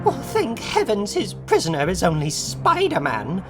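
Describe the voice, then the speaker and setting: A woman's voice: mid-range voice, wildly swooping pitch, moderate pace, music in the background. A woman playing a sweet, genteel, fussy and anxious elderly aunt in a comic book dramatization. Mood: fretful.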